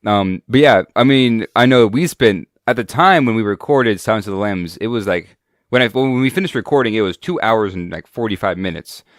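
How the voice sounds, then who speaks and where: A man's voice: on the low side, animated, fairly quick, no echo, clean studio sound. A man co-hosting a podcast in casual chat while sick with a cold, speaking loosely with many fillers. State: sick with a cold, voice deeper than usual. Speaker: podcast co-host.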